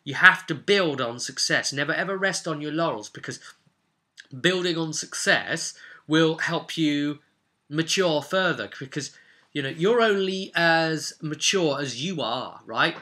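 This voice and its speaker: Very sharp-voiced man, rambling and emphatic. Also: a little high, a little slow. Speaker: game master and vidcast host. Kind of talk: casual explanatory vlog monologue.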